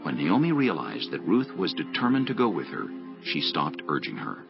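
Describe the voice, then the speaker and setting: A man narrates scripture in a very low, creaky voice. His intonation moves in a lively way through the scripted text. Soft music sits under a slightly dull recording. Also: moderate pace. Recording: dull lo-fi audio with music bed.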